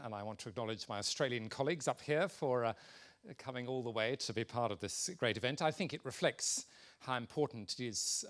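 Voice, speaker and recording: Older man giving a halting welcome speech. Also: medium pitch, fairly bright tone. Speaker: president of a conservation institute. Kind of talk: informal welcome speech to a conference.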